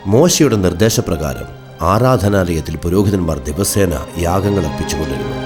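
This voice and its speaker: A man with a deep voice delivers scripted, dramatic story narration. Soft music runs faintly behind his voice. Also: animated, normal speed.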